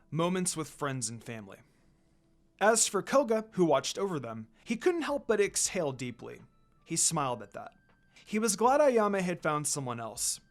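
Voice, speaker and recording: A man narrates fan fiction as a dramatic story. His voice is slightly high for a man and rises and falls a lot, with faint music underneath.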